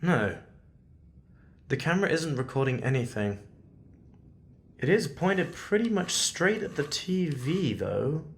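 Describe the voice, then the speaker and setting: A male actor playing a shrewd, commanding otherworldly investigator in an audio drama, measured and articulate. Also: a little high, sing-song, slight background noise, full character voice. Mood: musing.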